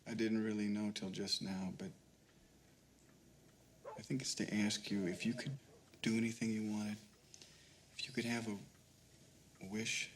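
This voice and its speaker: An actor playing an earnest, curious American man who asks questions in a tentative, halting way in a film drama. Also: lowish voice, flat delivery, slight background noise, natural voice.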